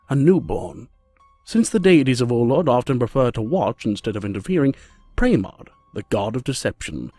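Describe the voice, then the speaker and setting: A man narrating a scripted fantasy audio drama as a measured storyteller. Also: medium pitch, dark tone, natural voice. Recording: music under the voice.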